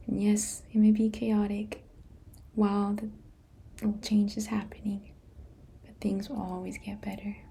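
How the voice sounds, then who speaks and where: A woman who whispers almost every word, unhurried and soft. Her tone is mellow and dark. A faint hiss of room ambience sits under her voice. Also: medium pitch. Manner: soft unvoiced whisper throughout, slow and gentle. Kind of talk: whispered meditation talk.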